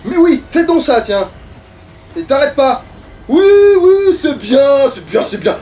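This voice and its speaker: High-pitched sweary man gaming, off-mic. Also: big pitch swings, takes it slowly, darkish tone. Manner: sweary, grumbling. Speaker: video game streamer playing and chatting. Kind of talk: casual gaming chat with commentary on own play. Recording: distant mic with background noise.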